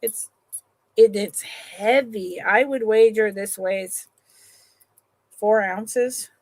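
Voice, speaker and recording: Slow, relaxed woman hosting a live sale. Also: medium pitch, no room sound. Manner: chatty, unhurried, trails off and restarts sentences; affectionate to viewers, calls them honey and sweetheart. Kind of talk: slow, relaxed live-stream sales chat.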